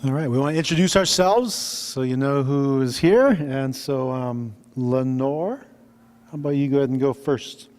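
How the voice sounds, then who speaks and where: A man from Alaska, presenting at a workshop in a relaxed, conversational way as he introduces himself. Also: medium pitch, normal speed, in a large hall. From Unalakleet, Alaska, United States. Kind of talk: moderating and self-introduction.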